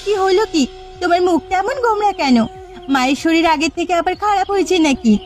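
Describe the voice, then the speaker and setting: A woman playing a hurt, long-suffering young village wife in a cartoon melodrama, with quick, plaintive delivery. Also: very high voice, expressive, faint music behind. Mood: concerned. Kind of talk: melodramatic cartoon family comedy acting.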